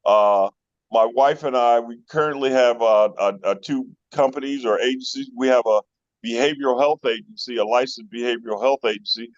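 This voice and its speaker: A middle-aged man from with a mid-pitched voice. He talks as a guest on a group call, friendly and rambling, with frequent fillers. The sound is a bit dull, like call audio. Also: fairly quick. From Chicago North Side. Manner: friendly storyteller, many fillers. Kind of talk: casual phone call chat.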